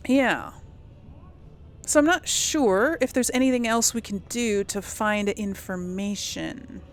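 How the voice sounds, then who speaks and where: Female let's play host, conversational and musing, mid pitch, with music underneath. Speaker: gaming channel host. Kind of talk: casual game role-play commentary.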